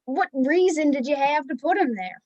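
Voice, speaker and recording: A woman in an amateur play acting a stern small-town husband and father, gruff and reproachful. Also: sits high, animated, moderate pace, a little mellow, dry recording, muffled recording. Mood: stern, scolding. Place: filmed on a video call.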